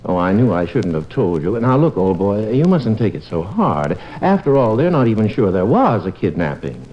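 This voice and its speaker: A man's voice: lowish voice, sing-song, normal speed, slight background noise. A man playing a kindly, easygoing small-town doctor and father in an old radio comedy-drama, on a muffled recording. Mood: gentle concern. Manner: gentle, fatherly, conversational.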